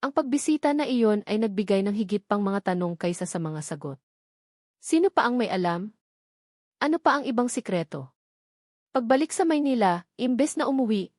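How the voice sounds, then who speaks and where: A woman's voice: mid-range voice, animated, normal speed, darkish tone, no echo, clean studio sound. A woman reading a romance story as dramatic scripted narration.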